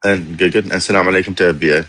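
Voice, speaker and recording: A man's voice: low voice, steady tone, rapid-fire, a bit bright. A man from Iraq arguing politics as a guest on a live stream, talking over the host and laughing mid-sentence. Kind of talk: heated political debate.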